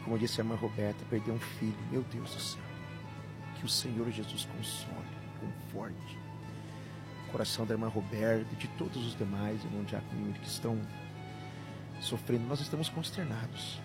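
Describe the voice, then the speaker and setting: Gravelly-voiced pastor hosting night radio. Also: male voice, lowish voice, steady tone. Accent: southern Brazilian. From Paraná, Brazil. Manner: gentle, prayerful, unhurried. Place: radio studio with music playing. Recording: music bed under the voice.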